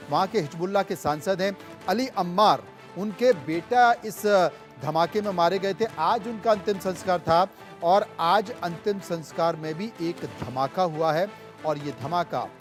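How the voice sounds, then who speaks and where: Guy anchoring breaking news, high voice, hammers key phrases, music underneath. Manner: emphatic, repeats key phrases. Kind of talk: breaking news presentation and guest questioning. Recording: music bed behind the voice.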